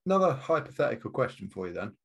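A man's voice: medium pitch, sing-song, fast talker, husky. A man of thirty hosting a chat podcast, laid-back, with casual swearing and tag questions. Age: 30s. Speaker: podcast host.

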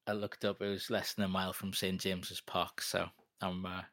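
Deep-voiced man, bright and dry. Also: completely flat delivery, normal speed, dry recording. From North East England. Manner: self-deprecating, rambling with many fillers. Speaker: guest. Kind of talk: casual interview chat.

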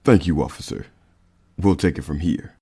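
Deep-voiced actor as a firm FBI agent. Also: male voice, moderate pace, mellow, husky. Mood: polite, firm. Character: firm, pressing mid-thirties male FBI agent. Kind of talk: audio drama acting.

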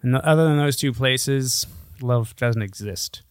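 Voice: mid-range voice, a little slow, smooth, no echo, clean studio sound. A podcast co-host chatting casually and comedically, bantering and swearing freely.

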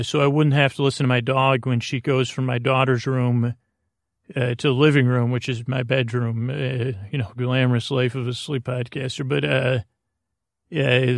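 A middle-aged American man hosting a sleep podcast, rambling in a halting, meandering, self-deprecating way from a closet recording booth. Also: mid-range voice, gravelly, dry recording, studio quality. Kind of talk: rambling bedtime monologue.

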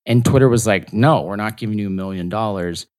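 A man's voice: on the low side, fairly quick, darkish tone. Rambling man telling stories. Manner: rambling, lots of "like" fillers. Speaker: podcast guest, actor and comedian. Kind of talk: casual storytelling interview.

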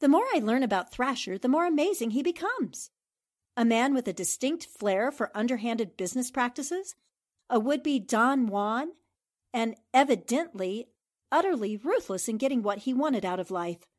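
A woman's voice: fairly high pitch, lively intonation, moderate pace. A woman playing a self-assured, inquisitive newspaper reporter turned detective, articulate and probing, in 1940s radio drama style. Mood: musing.

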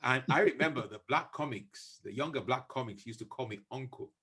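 A middle-aged man hosting a casual comedy interview, putting questions haltingly and repeating words as he goes. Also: mid-range voice, bright, forceful tone, slightly rough. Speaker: podcast host, comedian.

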